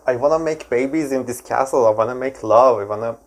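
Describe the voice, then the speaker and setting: A man's voice: medium pitch, fairly quick. A man with a Persian accent reacting casually and enthusiastically to a video, with many fillers.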